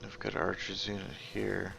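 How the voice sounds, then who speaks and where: A man giving casual gameplay commentary, thinking aloud in a halting, musing way and often trailing off mid-thought. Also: low voice, completely flat delivery, vocal fry, pushed and bright, a little hiss or hum. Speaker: gaming video host.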